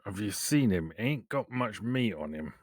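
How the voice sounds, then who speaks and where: A man trades casual, teasing banter as a player in a tabletop roleplaying game. His voice is on the low side and recorded dry. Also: expressive, normal speed, no echo.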